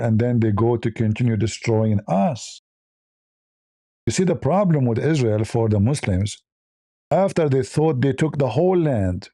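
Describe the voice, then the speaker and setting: A man's voice: medium pitch, dark tone, no echo, clean studio sound. A man who speaks English as a second language, giving combative, informal religious commentary full of repeated rhetorical questions. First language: not English.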